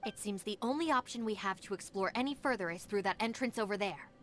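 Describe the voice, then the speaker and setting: A woman's voice: medium pitch, fast talker, soft background music, close to an everyday voice. A woman voicing a level-headed, teasing young deputy in a video game. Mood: calm, cautioning.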